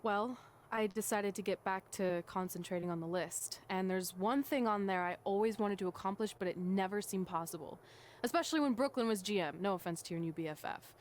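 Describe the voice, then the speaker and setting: A woman's voice: medium pitch, brisk, natural voice. Actress as a wry female wrestler, noisy ambience. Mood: feigned cool. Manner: sarcastic, grudging. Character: wry young female wrestler, proud best friend. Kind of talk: video game cutscene voice acting.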